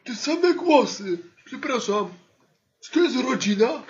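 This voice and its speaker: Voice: high-pitched, animated, takes it slowly, lo-fi sound. A performer playing a cheeky, bickering school pupil in an amateur comedy audio sketch, mocking and drawing out exclamations.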